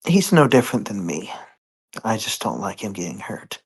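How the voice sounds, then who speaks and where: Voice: deep voice, sing-song, normal speed, slightly rough, rough recording. A player in a tabletop roleplaying game, speaking in character in conversation.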